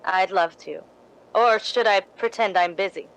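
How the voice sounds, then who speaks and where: A woman's voice: medium pitch, a little slow, a bit bright, low-quality recording. Woman playing a coy young stage actress. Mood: falsely modest. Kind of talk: old-time radio drama acting.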